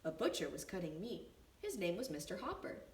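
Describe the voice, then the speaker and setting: Very animated woman reading to young children. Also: on the low side. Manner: expressive, deliberate phrasing. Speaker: preschool teacher reading a picture book. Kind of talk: storybook read-aloud for young children. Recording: echoey room, distant mic, faint noise.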